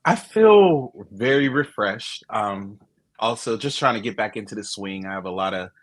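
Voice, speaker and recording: Relaxed low-voiced male co-host. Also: moderate pace. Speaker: podcast co-host. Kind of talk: casual chat.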